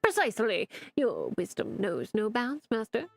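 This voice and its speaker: A woman voicing a haughty, sarcastic male talking spellbook, with pompous, drawn-out mockery over faint music. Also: fairly high pitch, huge pitch swings, creaky, dry recording, full character voice.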